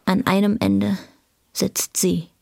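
A woman narrating a scripted German radio drama in a cool, deliberate manner. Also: mid-range voice, level tone, vocal fry, a little mellow.

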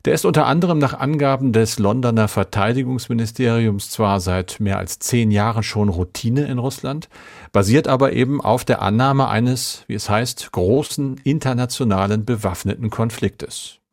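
A man's voice: medium pitch, moderate pace, creaky, a little mellow. A man hosting a podcast, reading scripted moderation. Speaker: podcast host.

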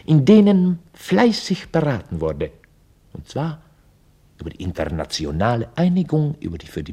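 An actor playing a chronicler, narrating a mock history in a formal, matter-of-fact way for a radio play. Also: male voice, deep voice, mellow, husky, heavily acted.

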